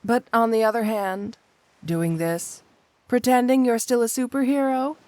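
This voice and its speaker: A woman's voice: on the high side, fairly even intonation, a little mellow, no room sound, lightly acted. A woman playing a flirty, possessive supervillain cat burglar wife in an intimate ASMR roleplay, coyly drawing out her endearments. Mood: exasperated. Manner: coy, drawn-out, purring endearments with pointed hums.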